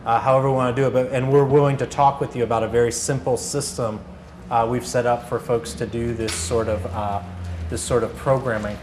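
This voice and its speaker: A man's voice: medium pitch, little pitch movement, a little fast. Guy giving a casual workshop talk, regular mid voice, storytelling style, noisy background. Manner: conversational, acts out reported dialogue. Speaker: radio organizer presenting a workshop. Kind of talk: informal workshop talk with anecdotes. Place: workshop room, live talk. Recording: clear background noise.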